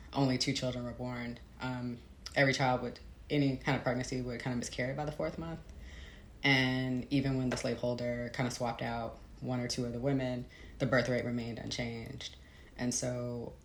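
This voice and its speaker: Low-creaking American woman in a roomy space. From Midwestern United States. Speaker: author being interviewed. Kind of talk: conversational explanation. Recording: roomy echo, faint background noise.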